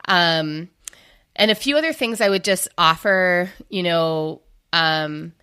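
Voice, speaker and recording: Deep, bright-voiced woman explaining methodically. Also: slow, smooth. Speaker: podcast co-host giving advice. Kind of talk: conversational practical advice.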